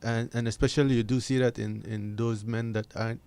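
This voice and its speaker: A man speaks as a studio guest in a mid-pitched voice. He explains his views earnestly, in measured, halting phrases, with a very dry, close sound. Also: level tone, vocal fry, warm tone, clean tone, no room sound. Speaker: discussion guest. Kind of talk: unscripted opinion and explanation.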